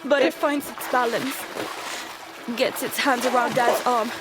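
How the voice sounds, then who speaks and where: A woman playing a terrified young daughter, narrating an audio drama in the first person in halting, emotional fragments. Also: on the high side, steady tone, over loud music, lightly acted, rough recording. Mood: horrified, urgent. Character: terrified young daughter recounting a monster attack at sea.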